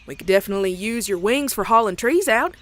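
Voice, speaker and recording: A woman's voice: mid-range voice, animated, fast talker, no room sound, close to an everyday voice. A woman voicing a plainspoken young farm mare with a folksy Southern American drawl in a comic dub. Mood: friendly, upbeat. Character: plainspoken, weary young adult farm mare, cowgirl pony. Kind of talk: animated comic dub acting and narration. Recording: music bed under the voice.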